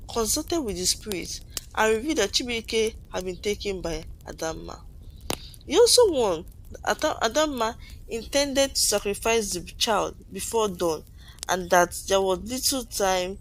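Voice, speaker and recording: A woman tells a folktale in a mid-pitched voice, reading from a script. Her delivery is dramatic, and she now and then stumbles or repeats a phrase. Faint noise and ambient sound sit behind her. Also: a bit bright, low-quality recording. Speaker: storyteller. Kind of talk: scripted folktale narration, read aloud.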